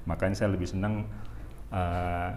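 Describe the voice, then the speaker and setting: A man in his forties from Jakarta, speaking as a guest in an interview in a relaxed, halting way with many fillers. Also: sits low, slight background noise. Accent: Jakarta Indonesian. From Jakarta, Indonesia. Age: 40s. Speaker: university rector, guest. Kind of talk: casual interview talk.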